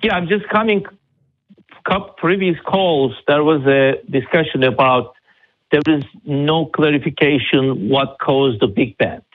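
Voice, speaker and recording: A man from Turkey with a Turkish accent, calling in to a live debate and making his point haltingly but persistently. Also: a little high. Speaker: caller. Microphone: phone call. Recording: narrow muffled phone line.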